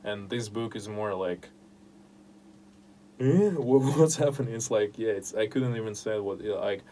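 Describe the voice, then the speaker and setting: A young man whose first language is Russian, talking casually about his writing in an interview and often pausing to search for words. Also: lowish voice, mellow. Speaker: podcast host and writer.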